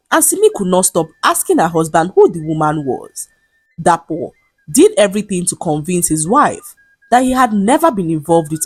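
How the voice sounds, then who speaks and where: A woman narrating a scripted folktale in a measured, expressive storytelling manner. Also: medium pitch, husky, no echo. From Nigeria. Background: background music.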